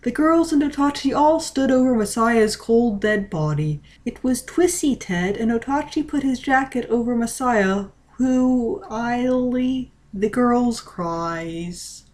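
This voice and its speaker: A woman reading a story aloud as dramatic, deliberate narration. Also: on the high side, animated, darkish tone. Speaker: reader of a fan-fiction story.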